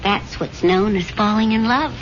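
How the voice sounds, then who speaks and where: An actress playing a loyal, resigned wife with terse American delivery in a 1970s radio drama. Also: female voice, mid-range voice, normal speed, lightly acted. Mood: affectionate. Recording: dull lo-fi 1970s radio, faint noise.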